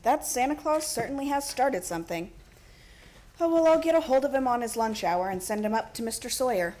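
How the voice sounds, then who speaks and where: Actress as anxious female manager, radio play, earnest, high voice. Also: lively intonation, brisk, darkish tone, lightly acted. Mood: puzzled, resigned. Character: earnest, worried woman store manager.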